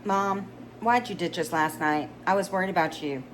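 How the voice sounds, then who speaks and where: A woman's voice: fairly low pitch, moderate pace, slight background noise, natural voice, low-quality recording. A woman reading the lines of a spoiled young adult daughter who whines and pleads. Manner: whining, pleading.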